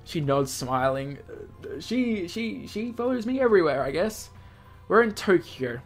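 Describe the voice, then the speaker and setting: A man's voice: high voice, big pitch swings, measured pace. A man hosting a let's play, reading a visual novel aloud with off-the-cuff asides and stumbles over words. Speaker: gaming host. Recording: music behind the voice.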